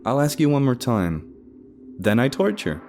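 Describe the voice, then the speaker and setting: A man acts out a hard-nosed woman detective in a storytelling performance. She is short-tempered, blunt and foul-mouthed, threatening in a gruff way, pitched somewhat above the narration. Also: big pitch swings, measured pace, darkish tone, a little hiss or hum. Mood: cold, threatening.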